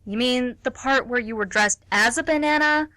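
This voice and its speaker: An actress plays an unimpressed woman in a radio comedy. Her voice is crisp and forward, close and dry, as she teases with a deadpan edge. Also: fairly high pitch, normal speed, dry recording. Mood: dry, teasing. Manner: deadpan, needling. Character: dry, teasing, unimpressed female friend at bar. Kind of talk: live radio comedy play.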